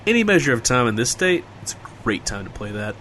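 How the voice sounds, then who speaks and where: A man's voice: mid-range voice, very animated, quick pace, fairly bright tone, slight background noise. A man playing an easygoing, wry small-town local in casual audio drama banter, with a folksy conversational manner.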